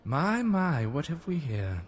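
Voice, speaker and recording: Flirtatious nobleman, swooping pitch. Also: big pitch swings, takes it slowly. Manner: suave, teasing. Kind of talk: scripted video game drama.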